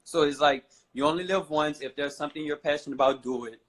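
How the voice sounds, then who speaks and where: A man's voice: a little high, steady tone, fast, fairly bright tone. A man in his twenties from New Orleans, a guest on a talk show, speaking casually and rambling with lots of "you know" and "I feel like". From New Orleans, USA. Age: 20s. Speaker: guest, fashion model.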